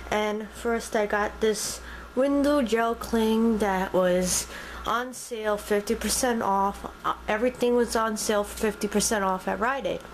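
A woman filming a casual tour of her home and naming each item as she goes, pausing and restarting often. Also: medium pitch, fairly even intonation, slight background noise, poor audio. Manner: halting, with frequent ums and restarts. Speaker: vlogger giving a home decor tour. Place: inside a home, walking room to room. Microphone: handheld camera held by the speaker.

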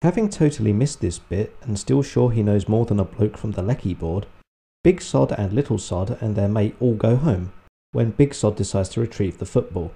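Deadpan British man with a low, creaky voice. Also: normal speed. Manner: deadpan, sardonic, sweary. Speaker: film reviewer. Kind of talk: scripted review narration.

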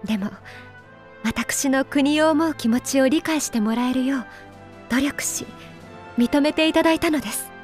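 A high, youthful female voice from an actress as a dignified game princess. She is earnest and composed, with polite, formal phrasing. Soft music plays underneath. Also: normal speed, natural voice. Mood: dignified, resolute. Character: earnest, dignified young princess leading on the front line. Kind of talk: Japanese video game voice acting.

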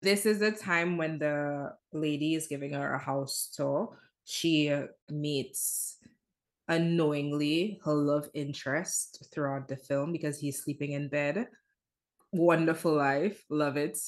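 Female podcast host, deep voice, animated amused storyteller. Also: slow pace. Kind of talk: casual chat.

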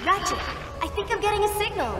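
A woman's voice: very high-pitched, lively intonation, quick pace. A woman playing a practical young alien heroine in a video game. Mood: alert, questioning. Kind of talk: video game character acting. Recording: very echoey, distant hall.

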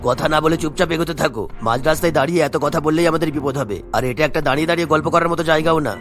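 Man playing an anxious, very fast-talking cartoon father. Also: fairly high pitch, fairly bright tone, soft background music, lightly acted. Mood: urgent. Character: cautious middle-aged father, anxious and placating, in cartoon.

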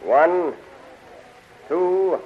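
A man's voice: high-pitched, animated, very slow, exaggerated voice. A man playing a stern, commanding alien prince in theatrical 1930s radio serial style. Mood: menacing, stern. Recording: muffled, hissy 1930s radio recording.

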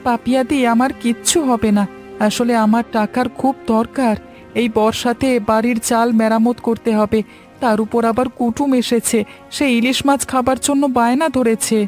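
A woman's voice: fairly high pitch, fairly even intonation, normal speed, a little mellow, faint music behind, lightly acted. A woman voicing an earnest, polite and hardworking poor village boy in a cartoon story.

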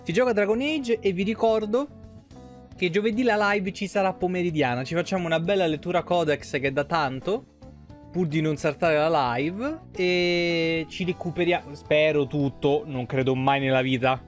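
A man's voice: a little high, moderate pace, soft background music. A young man, chatting casually on a game livestream in an informal, rambling, sweary way. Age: young adult. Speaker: video game streamer. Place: small room indoors at a streaming desk. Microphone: desk microphone close up, with headset.

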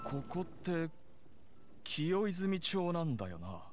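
Young anime game hunter: high, animated, earnest and bewildered, faint music behind. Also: male voice, slow. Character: young male hunter, confused, insistent, lost out of time. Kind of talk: Japanese anime game voice acting.

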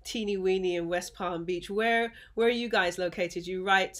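A woman hosting an interview over a video call from home, upbeat and quick to laugh, with short encouraging replies. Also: mid-range voice, steady tone. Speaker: interview host. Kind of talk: casual interview chat. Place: home room.

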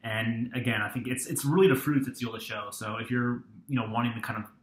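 A man's voice: mid-range voice, fairly even intonation, fast talker, echoey room. A young man in his twenties casually reviewing a beer, rambling with lots of ums and restarts, filmed on a webcam at a desk in a small room. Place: small dorm-like room indoors.

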